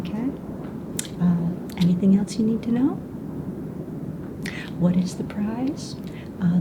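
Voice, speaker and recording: Casting: a woman as a friendly, unhurried fantasy hunt hostess for ASMR, whispering softly in a slightly low register over clear background noise. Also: close to an everyday voice. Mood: helpful, patient. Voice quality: soft, breathy whisper. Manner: casual, hesitant, explanatory, matter-of-fact about rules and danger. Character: calm, friendly mistress of ceremonies of a fantasy dragon egg hunt. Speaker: ASMR roleplayer. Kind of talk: soft-spoken whispered ASMR roleplay. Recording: noisy close recording with low rumble.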